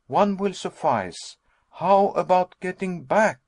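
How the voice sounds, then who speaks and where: A man's voice: high-pitched, lively intonation, takes it slowly, voice pushed up. A man voicing an anxious, tired young man who speaks hesitantly and plaintively. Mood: uneasy. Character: weary anxious young man, the professor's nephew.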